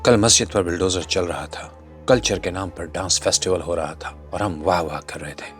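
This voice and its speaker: A man's voice: sits low, normal speed. A man delivering scripted social commentary in a rhetorical, admonishing, exhortative manner. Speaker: narrator and commentator. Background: background music.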